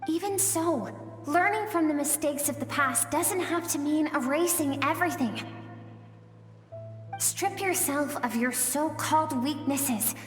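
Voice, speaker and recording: A woman voicing a game character, the gentle suppressed emotional self of a swordswoman, speaking softly and earnestly with pleading warmth. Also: high voice, a little slow. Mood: pleading, impassioned. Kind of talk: video game voice acting. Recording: very echoey with long reverb, music behind.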